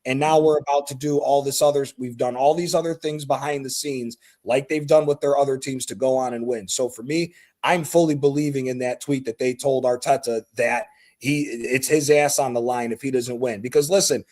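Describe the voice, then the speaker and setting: A man's voice: medium pitch, steady tone, moderate pace, darkish tone. An American man calling in to a football chat show, making his point bluntly and swearing freely. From United States. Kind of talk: heated call-in football chat.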